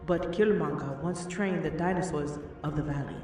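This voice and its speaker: An actor playing a proud, protective guide in a comic dub, with a formal way of speaking. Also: male voice, a little high, normal speed, darkish tone, natural voice. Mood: matter-of-fact. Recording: very echoey, distant, faint music.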